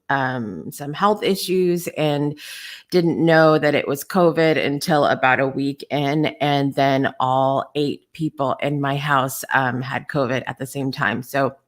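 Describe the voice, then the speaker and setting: A woman hosting a live podcast, talking in a casual, candid way with lots of ums and restarts, her voice hoarse and lower than usual. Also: fairly bright tone. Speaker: podcast host. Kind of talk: casual live-show chat.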